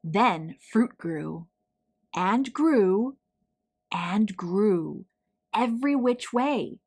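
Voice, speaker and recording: A woman reading a picture book aloud to children, telling the story in a deliberate, dramatic way with emphasized words. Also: medium pitch, animated, darkish tone.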